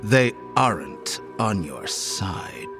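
A slow, whispery, deep inhuman stranger. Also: male voice, sing-song, fairly bright tone, faint music behind, full character voice. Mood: cold, guarded. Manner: formal, slow and deliberate. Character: menacing, courteous inhuman man with a skull cup. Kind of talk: horror audio drama acting.

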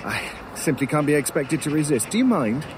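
A snooty talking crow for an audio drama, super polite and macabre, wordy, lots of outdoor noise and cawing behind. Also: male voice, fairly high pitch, very animated, a little fast. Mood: fastidious, amused. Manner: wordy, pompous, mockingly polite. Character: urbane, sardonic male talking crow, gleefully macabre scavenger. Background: outdoor ambience, crows, vehicles.

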